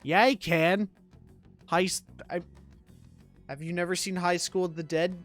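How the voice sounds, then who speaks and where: A woman's voice: fairly low pitch, steady tone, a little slow. A woman game streamer giving casual, wry commentary and talking back to the game. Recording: faint game music behind voice.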